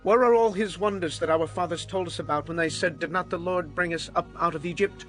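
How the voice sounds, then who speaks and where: A man's voice: high-pitched, a little fast, fairly bright tone, soft background music. A man playing a humble, doubting Israelite farmer, earnest and pleading, in a dramatized audio Bible. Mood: doubtful, protesting.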